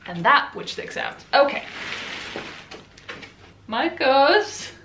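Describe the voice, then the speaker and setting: A woman's voice: sits high, very animated, extremely slow, vocal fry, fairly bright tone, slight background noise. A woman in her twenties from Sweden, speaking English with a Swedish accent, chatting casually and laughing easily as she talks. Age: 20s. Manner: chatty, rambling, laughs often. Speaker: book vlogger. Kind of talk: casual chat while assembling furniture. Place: room at home. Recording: echoey room, camera at some distance.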